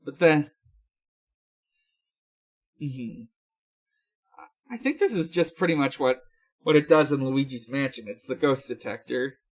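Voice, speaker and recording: A podcast co-host giving a casual recap in a halting, hesitant way. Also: medium pitch.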